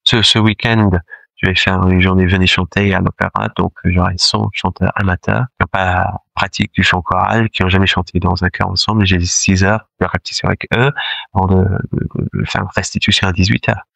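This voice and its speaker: Choir director guy, french is his second language, low gravelly voice, lots of ums and restarts. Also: level tone, fairly quick, fairly bright tone, no echo. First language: not French; French is his second language. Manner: hesitant, many fillers and restarts, laughs often. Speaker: choir director, interview guest. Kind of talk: casual interview conversation.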